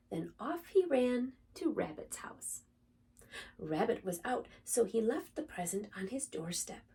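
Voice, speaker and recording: A woman reads a children's bedtime story in a high, very lively voice. She takes her time with each phrase, dramatic and deliberate, with some creak in the tone. She sounds a little way from the microphone. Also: far from the mic. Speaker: storyteller reading a picture book aloud for children. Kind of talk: expressive children's bedtime story read-aloud.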